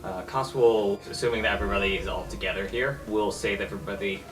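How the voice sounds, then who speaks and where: Guy player, low voice, kinda far from the mic, music under. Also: quick pace. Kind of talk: tabletop game play.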